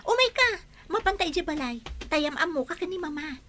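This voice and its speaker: A piercingly bright, very high young woman's voice acted by a woman. She sounds coy and gossipy, a sly talker in a melodramatic audio drama. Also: animated, fairly quick, exaggerated voice. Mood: confiding.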